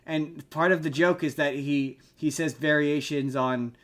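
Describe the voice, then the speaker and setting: A man's voice: a little high, fairly even intonation, unhurried. A man hosting a podcast, chatting casually about film and TV in a rambling, jokey way and often repeating himself. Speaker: podcast host.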